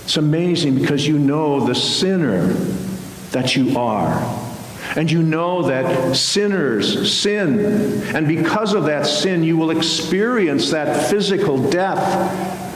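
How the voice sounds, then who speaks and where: American male preacher, unhurried sermon delivery, long church reverb with a faint hiss behind. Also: fairly high pitch, animated. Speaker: Lutheran pastor preaching. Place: large church sanctuary, at the pulpit.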